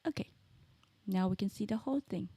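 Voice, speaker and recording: A woman's voice: mid-range voice, a little fast, warm tone, breathy edge, no room sound. A woman chatting casually as she streams a video game. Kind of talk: casual live stream chat.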